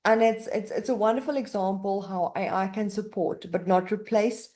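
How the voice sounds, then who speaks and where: Woman webinar host, mellow tone, chatty with many fillers and restarts, on a computer mic. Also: medium pitch, fairly even intonation, normal speed. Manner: conversational, frequent fillers and self-corrections. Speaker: university webinar presenter. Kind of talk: presenting a live webinar. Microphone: computer mic on a video call.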